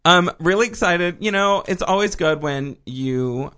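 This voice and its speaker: A man's voice: high-pitched, animated, unhurried, pushed and bright, smooth. A man from Texas co-hosting a casual news chat, rambling with many ums and restarts. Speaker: podcast co-host.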